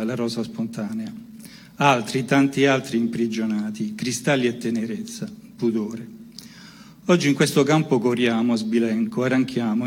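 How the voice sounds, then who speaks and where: A man reading a poem aloud at a recital, in short intimate phrases with small hesitations. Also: mid-range voice. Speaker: poetry reader at a recital.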